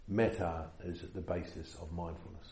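A man with a New Zealand accent leading a meditation retreat, giving an unhurried dharma talk with many fillers. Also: deep voice, steady tone, warm tone, roomy sound, a little hiss or hum.

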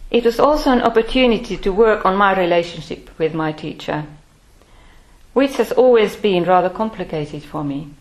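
A woman's voice: mid-range voice, expressive, a little mellow, rough recording. Reflective older woman. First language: Finnish. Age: 60s. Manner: measured, thoughtful, pausing often. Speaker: Buddhist order member. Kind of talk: reflective personal life-story talk.

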